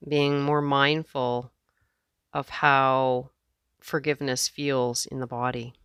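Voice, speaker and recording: A woman teacher leading a guided meditation in a gentle, measured way, leaving space between phrases. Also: rumbling low, little pitch movement, smooth, dry recording, clean studio sound.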